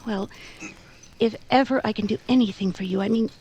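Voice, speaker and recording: A woman acts out a timid young woman in an old-time radio play. She is meek and flustered, stammering and hesitating through her phrases. The recording has clear background noise. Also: medium pitch, fairly even intonation, fairly quick, mellow, close to an everyday voice, rough recording. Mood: tender. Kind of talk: 1940s radio drama acting.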